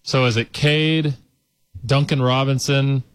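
Casting: male co-host, mid-pitched, talkative, dry close-mic sound. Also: fairly even intonation, slow pace, clean tone, no room sound. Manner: chatty, rambling, thinks out loud. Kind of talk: casual sports chat.

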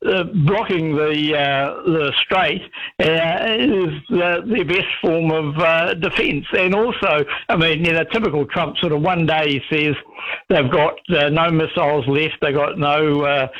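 A man calling a radio phone-in, giving opinions casually in a halting, pause-filled way over a phone. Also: fairly high pitch, a bit bright. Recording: dull lo-fi phone call.

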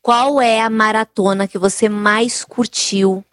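A woman's voice: mid-range voice, fairly even intonation, slow, no echo, studio quality. A woman with a Brazilian accent hosting an interview, asking questions and reacting in a casual chatty style. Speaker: interviewer, show host. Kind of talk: casual chat.

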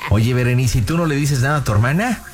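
A man with a Mexican accent hosting a radio prank show, bantering loudly in a playful, teasing way. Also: mid-range voice, animated, quick pace. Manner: playful, mock-sympathetic teasing. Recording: music playing behind the voice. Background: music.

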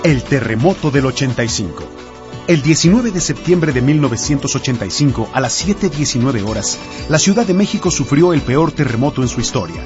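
A man's voice: mid-range voice, animated, brisk. A man giving formal, factual scripted narration for a historical radio segment. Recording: dull lo-fi broadcast, clear music behind. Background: clear background music.

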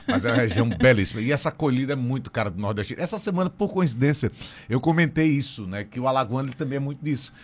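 A male radio host with a Northeastern Brazilian accent and a mid-pitched voice. He talks in an effusive, chatty way, often checking in with little tag questions as he conducts a casual interview. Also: animated, very fast.

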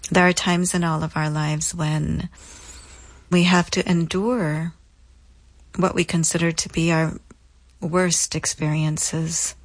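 A woman speaks as an interview guest, telling her own story in a slightly low voice. Her delivery is reflective and deliberate, leaning on repetition for emphasis. The sound is close and dry. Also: no room sound.

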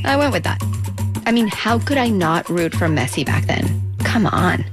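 A woman from the United States narrating a scripted personal essay as reporter, in a reflective, intimate storytelling manner. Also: mid-range voice.

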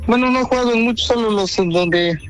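A man's voice: extremely high pitch, brisk, fairly bright tone, low-quality recording. Shy young man over music. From Guatemala. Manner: short, shy, hesitant answers. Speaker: prank call target.

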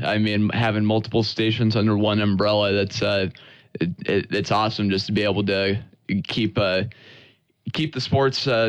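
An American man with a fairly low, clear, resonant voice speaks as a guest in a radio interview. He is a sports broadcaster who talks earnestly and conversationally, often doubling back on words. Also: no echo. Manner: earnest, halting with repeated words.

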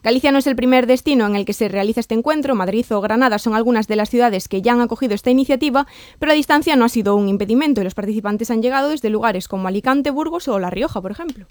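A woman reporter reads her news package at a fast clip. Her voice sits a little high and sounds dry and close, with almost no room around it. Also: a little mellow, no echo. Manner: brisk scripted delivery. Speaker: field reporter.

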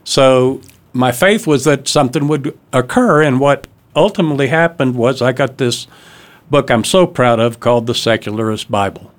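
A man's voice: medium pitch, normal speed. An older man, an author, gives a radio interview in a studio, telling stories in a chatty way with hesitations. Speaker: author and guest.

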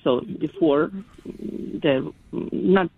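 A mature woman from Ukraine with an Eastern European accent, calling a radio show by phone, polite and halting in her speech. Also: low-pitched, creaky, husky, no room sound. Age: middle-aged or older. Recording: tinny, dry phone line.

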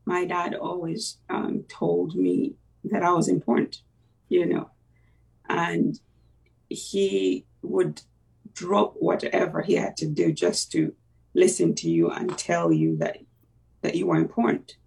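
Gravelly voice, halting. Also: mid-range voice, expressive, a little mellow, distant mic, poor audio. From Uganda. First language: Luganda. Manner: reflective, halting, with many fillers and restarts. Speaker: interview guest. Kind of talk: personal faith testimony in conversation.

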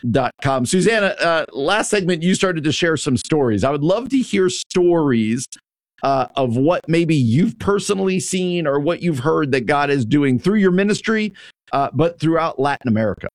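A man's voice: medium pitch, animated, normal speed, no echo. A man hosting a radio show, talking conversationally as he interviews a guest and appeals for donations. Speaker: radio host.

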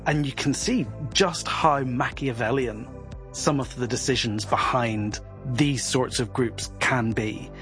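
A man, a guest reporter, explaining at length in a steady, informed way on a podcast. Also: medium pitch, measured pace, soft background music.

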